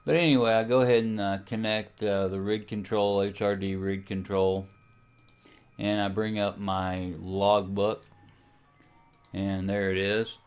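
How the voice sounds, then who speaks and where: A man with a fairly low, clean and resonant voice talks through a software tutorial. He explains each step in a casual, informal way, as the host of a hobby how-to. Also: level tone, unhurried, clean tone, faint music behind. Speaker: amateur radio tutorial host.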